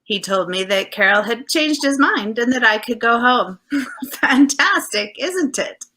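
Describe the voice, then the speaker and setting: Female actor as coy, affectionate detective's wife, radio play, higher voice, lilting animated delivery. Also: measured pace. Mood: coy, composed. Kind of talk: 1940s radio drama acting.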